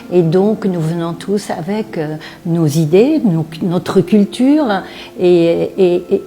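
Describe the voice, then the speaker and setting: Slow, mellow-voiced woman writer. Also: fairly low pitch. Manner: hesitant, searching for words. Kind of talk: reflective interview testimony.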